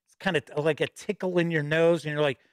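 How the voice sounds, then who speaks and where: Middle-aged guy hosting a live news stream, a bit stuffy from a cold, highish voice, close boom mic, dry sound. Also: fairly even intonation, fast, clean tone, no echo. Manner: chatty and upbeat, reading news with asides. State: a little stuffy with a starting cold. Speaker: host and editor-in-chief of a tabletop gaming news show. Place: home studio with shelves of games behind. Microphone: studio mic on boom arm close to mouth.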